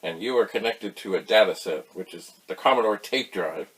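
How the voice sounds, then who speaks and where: A man giving a casual, folksy explainer, rambling through anecdotes with emphatic pauses. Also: on the low side, a bit bright, slightly rough. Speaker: host of a retro computer tutorial channel.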